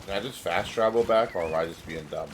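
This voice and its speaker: Deep-voiced man with a stuffy nose. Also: fast talker, noisy background. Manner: rambling, confused, self-mocking. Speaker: gaming streamer. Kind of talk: casual gameplay commentary. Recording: voice over game music. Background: game music.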